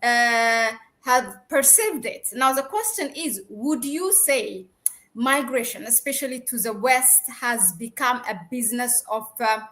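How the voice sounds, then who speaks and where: A woman hosting a discussion interview, putting questions in a halting, roundabout way. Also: on the high side, a bit bright. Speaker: interview host.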